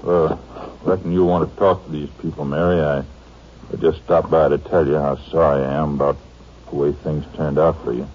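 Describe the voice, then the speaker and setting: A laconic, guarded ex-cowhand in vintage radio drama. His American drawl is extremely deep and halting. The recording is dull with faint noise. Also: level tone. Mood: awkwardly polite. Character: guarded former cowpoke, now ranch owner, laconic. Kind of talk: 1950s radio drama acting. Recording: muffled, noisy old radio recording.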